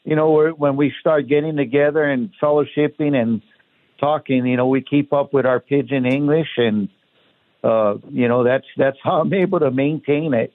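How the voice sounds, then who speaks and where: A man from Hawaii with a Hawaiian pidgin accent, a guest talking casually and relaxed, with lots of "you know". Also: mid-range voice, moderate pace, no room sound. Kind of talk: casual interview talk. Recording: tinny phone line.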